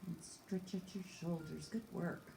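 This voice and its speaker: A woman with a slightly low, somewhat mellow voice leads a stretching class for children. She gives simple, encouraging instructions one step at a time while demonstrating. The recording is clearly echoey, as in an indoor room. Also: fairly even intonation, a little slow. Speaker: teacher leading a children's yoga stretching class. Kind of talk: instructional exercise guidance, demonstrating while talking. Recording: echoey room, faint background noise.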